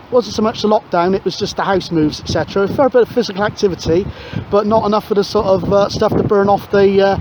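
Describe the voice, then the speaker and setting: A man chatting casually as a CB radio operator, matey and rambling, outdoors on a windy seashore. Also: sits high. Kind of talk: casual radio chat and narration to camera. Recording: dull outdoor recording, clear wind noise. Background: wind and outdoor ambience.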